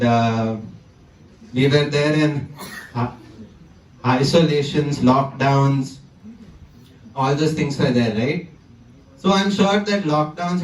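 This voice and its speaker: A man's voice: mid-range voice, a little mellow, echoey room, distant mic, poor audio. A man, who stammers and repeats words as he tells his story to a conference audience. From South India. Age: 20s. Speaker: conference speaker. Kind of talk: personal talk to an audience. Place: conference hall.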